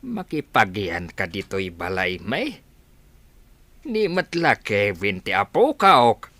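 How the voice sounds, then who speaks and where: Man acting a cantankerous old grandfather, sharp-voiced. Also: fairly low pitch, wildly swooping pitch, slow, dry recording, exaggerated voice. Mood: grudging. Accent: Filipino, Ilocano. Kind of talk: Ilocano radio drama acting.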